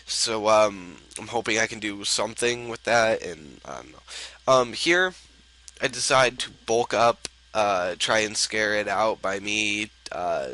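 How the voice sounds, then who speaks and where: A man's voice: on the low side, unhurried, sharp tone, poor audio. A young man with a stuffy, sick voice, casually commenting on his own online game match with lots of ums and uhs. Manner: rambling, filler-heavy, laid-back. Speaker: gaming video host.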